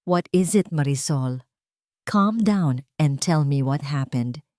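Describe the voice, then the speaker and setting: A woman's voice: deep voice, expressive, slow pace, darkish tone, no room sound, voice pushed down. A woman narrator acts out a wealthy Filipino mistress of the house in a storybook drama. She is icy, stern and commanding, recorded very dry. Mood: irritated.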